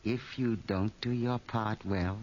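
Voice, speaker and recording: Slow, menacing crime boss. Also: male voice, on the low side, fairly even intonation, a little mellow. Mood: scornful menace. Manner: slow, condescending, threatening. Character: menacing, scornful male crime boss, smooth schemer. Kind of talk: 1940s radio drama acting. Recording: muffled old radio recording, faint noise.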